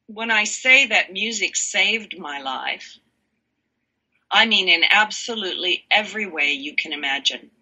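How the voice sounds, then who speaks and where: A woman with a self-described low voice, talking slowly and expressively as an interview guest, laughing often. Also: lo-fi sound. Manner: animated, laughs often, slow emphatic phrasing. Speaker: guest, sound healer. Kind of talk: warm expressive interview talk.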